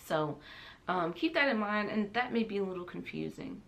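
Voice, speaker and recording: A woman's voice: medium pitch, animated, moderate pace, gravelly, a bit bright. A young adult woman chatting casually to camera as a vlogger, rambling in a giggly, self-deprecating way.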